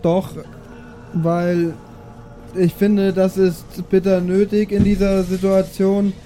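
A man plays a talkative prisoner in a tabletop audio game. He is cheekily polite and meanders insistently in a very mellow, slow, fairly high male voice. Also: monotone, slight background noise. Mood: indignant. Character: chatty, cheekily polite male prisoner pestering his guards.

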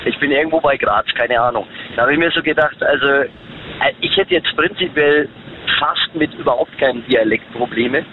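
A man's voice: medium pitch, moderate pace. A man from Germany calling a radio show from his car while driving, chatting in an easygoing, rambling way. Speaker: caller. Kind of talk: call-in chat. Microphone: phone. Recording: phone line with road noise.

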